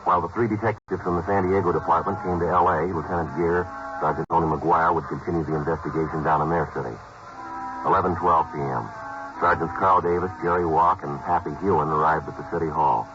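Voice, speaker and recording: Deep, low voice of a stone-faced police sergeant, played by a man, laying out the facts in clipped, unhurried sentences. It comes through a muffled, hissing old radio broadcast, like a 1950s crime drama. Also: natural voice. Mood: matter-of-fact. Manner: clipped, flat, matter-of-fact delivery. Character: terse male police detective sergeant narrating his case. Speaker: lead character and narrator.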